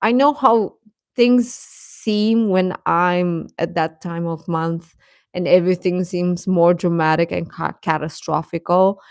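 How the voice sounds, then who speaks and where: A woman's voice: on the low side, fairly even intonation, slow pace, darkish tone, no room sound, studio quality. A woman giving casual, reassuring advice as she chats with her livestream viewers in an encouraging way. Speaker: livestream host talking with young viewers.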